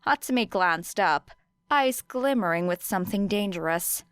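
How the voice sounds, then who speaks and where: A woman's voice: medium pitch, animated, measured pace, clear, resonant tone, no echo. A woman reading scripted fiction as an audiobook narrator. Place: close, dry recording space.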